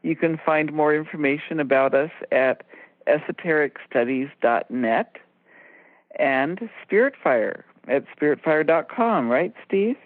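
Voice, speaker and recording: Female radio co-host, low-pitched for a woman, measured and explanatory, heard down a narrow phone line. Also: expressive, no echo. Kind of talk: conversational explanatory talk radio.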